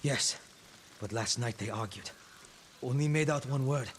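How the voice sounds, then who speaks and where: A man's voice: medium pitch, measured pace, a little hiss or hum, natural voice. An actor as a wary mid-voiced male villager. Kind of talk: dramatic game cutscene acting.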